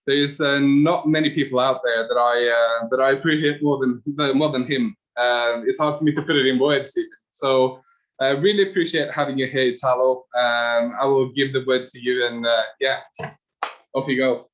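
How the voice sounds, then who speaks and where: A man's voice: medium pitch, steady tone, normal speed, a bit bright, muffled recording. A man hosting an online call, introducing his guest with enthusiasm and many fillers.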